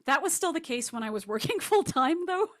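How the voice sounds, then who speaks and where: A woman's voice: high voice, big pitch swings, fairly quick, a bit bright. A woman speaking as an author guest in an interview, answering in a casual, reflective way.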